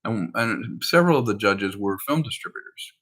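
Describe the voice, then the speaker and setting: A man's voice: on the low side, lively intonation, normal speed, fairly bright tone. A man talking casually and in a rambling, anecdotal way about his work as a filmmaker. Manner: rambling, anecdotal, acts out quoted dialogue. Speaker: film director and screenwriter. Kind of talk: casual conversational update about projects.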